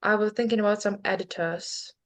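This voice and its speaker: A woman in her twenties with a Ukrainian accent talks as a guest in a relaxed interview. She is a native Ukrainian speaker who pauses, restarts and reaches for her words, her pitch sitting in a middle range. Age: 20s. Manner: thoughtful, hesitant, many fillers and restarts. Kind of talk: casual interview chat.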